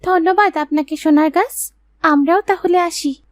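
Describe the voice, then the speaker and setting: A woman's voice: very high-pitched, a little slow, no echo, studio quality, soft background music. A woman voicing a plucky, earnest little girl, the younger sister in a cartoon fairy tale.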